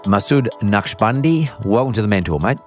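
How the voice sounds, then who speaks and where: A deep-voiced, middle-aged man hosts an interview podcast. He speaks in a measured, deliberate way and restarts his sentences often. Faint music sits under his voice. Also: lively intonation. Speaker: podcast host. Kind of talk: interview chat with scripted intro.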